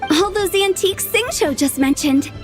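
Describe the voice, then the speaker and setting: A woman's voice: extremely high pitch, lively intonation, normal speed. A woman voicing a mischievous, teasing young woman in a video game cutscene. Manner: teasing, impish. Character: playful young woman funeral director, mischievous. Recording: game audio with music behind.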